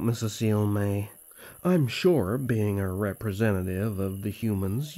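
A man's voice: fairly low pitch, mellow, exaggerated voice. A man voicing a playful, cocky young elf prince with a teasing, courtly way of talking, in a fantasy audio roleplay.

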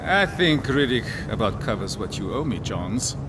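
An actor playing a pompous, threatening prison warden in a video game, domineering in manner. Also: male voice, lowish voice, big pitch swings, moderate pace, background noise. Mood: irritated. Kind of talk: cinematic video game acting.

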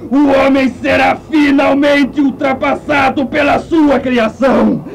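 An actor playing a grandiose, excitable mad scientist with pompous, declamatory delivery in a comic radio play. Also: male voice, very high-pitched, poor audio. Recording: 1980s radio play with music.

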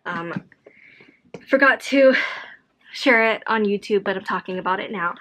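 Tired young woman, casual home vlog, rambling chat, medium pitch, faint background noise. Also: measured pace. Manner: chatty, rambling, many fillers and self-corrections. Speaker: vlogger. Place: home office room, indoors.

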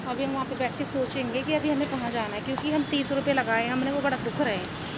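A woman's voice: high-pitched, fairly even intonation, fast. A young woman chatting casually as she vlogs while travelling around the city, filmed on a handheld phone. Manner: chatty, narrating on the go. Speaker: vlogger. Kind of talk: casual vlog commentary. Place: outdoors on busy city streets and in an auto-rickshaw. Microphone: handheld phone camera. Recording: loud traffic noise outdoors. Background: traffic and street noise.

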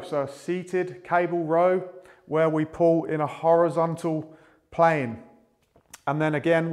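A man in his thirties with a fairly high voice gives an instructional talk as a fitness coach. He explains step by step in a measured, methodical manner, speaking to camera in a small room. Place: small indoor room with a whiteboard.

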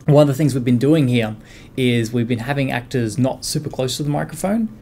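A man in his twenties explaining his editing work casually and haltingly, thinking aloud. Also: medium pitch, vocal fry, mellow, slight background noise. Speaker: audio editor. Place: indoor radio studio room.

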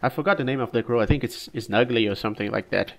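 Man, under the weather, coughing, easygoing halting gameplay commentary. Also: medium pitch, creaky. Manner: relaxed, halting, frequent restarts and fillers. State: sick, coughing. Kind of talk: casual game commentary.

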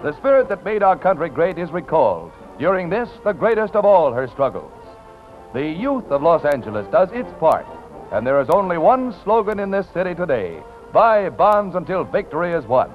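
A man's voice: fairly high pitch, big pitch swings, normal speed. An American man giving punchy, rousing 1940s newsreel narration and commentary. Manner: rousing, punchy announcer delivery. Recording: dull lo-fi 1940s newsreel soundtrack. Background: loud music.

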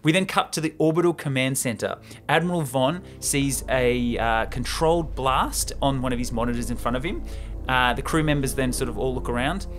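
Young man, podcast host, mid-pitched, casual enthusiastic storytelling, slangy, close mic, music underneath. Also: normal speed, fairly bright tone. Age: young adult. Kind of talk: casual retelling of a film script. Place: small room indoors. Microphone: close podcast microphone.

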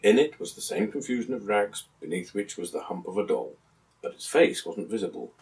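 A man in his seventies reading a literary passage aloud in a measured, articulate storytelling manner. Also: lowish voice, big pitch swings, darkish tone. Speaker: reader of a book passage. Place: small book-lined room at home, indoors. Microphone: camera a few metres away. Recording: distant camera microphone in a room.

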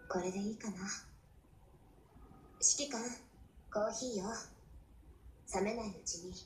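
Casting: actress as devoted young anime game heroine, soft, caring, breathy, comforting her commander; roomy screen playback with faint noise. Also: medium pitch, unhurried, close to an everyday voice. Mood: gentle, caring. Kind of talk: Japanese anime game voice lines.

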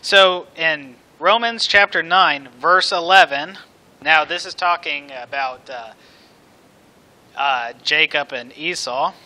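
Male preacher, slightly high voice, slow and emphatic delivery from the pulpit. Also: bright, forceful tone. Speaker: preacher, church elder. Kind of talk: sermon. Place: indoors at a church pulpit.

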